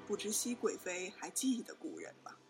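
A woman's voice: medium pitch, wildly swooping pitch, moderate pace, over music. A woman playing a pious, insinuating Buddhist nun in a Chinese period audio drama, speaking formally and deferentially. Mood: measured accusation.

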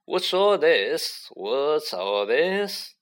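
A man's voice: on the high side, big pitch swings, extremely slow, pitched above the performer's own voice, heavily acted. A man voicing a vain, jovial celebrity teacher, pompous, hearty and patronising, in a storybook reading. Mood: booming, jovial.

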